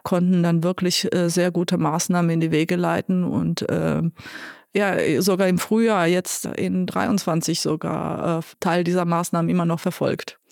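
A woman in her fifties from Croatia with a Croatian accent, answering interview questions thoughtfully with many pauses and fillers. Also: fairly low pitch, steady tone, gravelly, a little mellow, no room sound, clean studio sound. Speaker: guest, nursing director.

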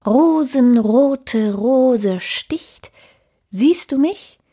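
A woman playing a plucky little girl with a hushed, secretive delivery in a fairy-tale audio drama. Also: high voice, expressive, very slow, heavily acted. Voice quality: hushed, breathy. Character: plucky little girl casting a magic rhyme.

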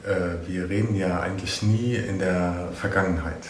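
A man with a fairly low voice acts as a casual interviewer. He thinks aloud, a little hesitantly, building his questions out of examples. The sound is roomy, with noticeable echo. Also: fairly even intonation, normal speed, a little hiss or hum.

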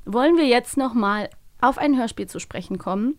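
Higher-voiced young woman chatting casually. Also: lively intonation, moderate pace, no echo, clean studio sound. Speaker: host of an audio drama review podcast. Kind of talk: casual chat with a co-host.

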